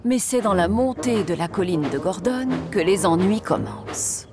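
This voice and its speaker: Lively woman narrating a cartoon. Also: mid-range voice, normal speed, music in the background.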